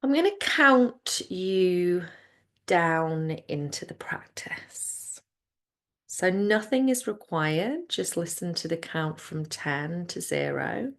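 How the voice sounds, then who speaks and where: Slow, low-pitched woman guiding relaxation. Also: expressive, vocal fry. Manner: soothing, unhurried, gentle instructions. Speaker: yoga nidra teacher guiding a class. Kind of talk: guided relaxation meditation.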